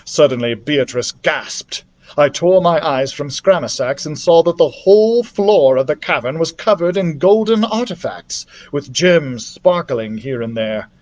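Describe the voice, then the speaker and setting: A man reading a children's adventure novel aloud as a library storyteller, in an expressive narrative style. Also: fairly high pitch, measured pace.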